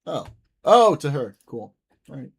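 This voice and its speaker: A man's voice: medium pitch, very animated, unhurried, gravelly, slightly rough. A young man hosting a live stream, chatting loosely with viewers and swearing often. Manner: loose, profane banter with viewers. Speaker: livestream host reading chat. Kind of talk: casual live-stream chat.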